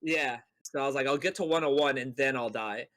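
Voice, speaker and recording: A man's voice: medium pitch, fairly quick, fairly bright tone. A man chatting casually as a podcast co-host, telling stories in a rambling way with playful mock boasting. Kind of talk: casual chat on a gaming podcast.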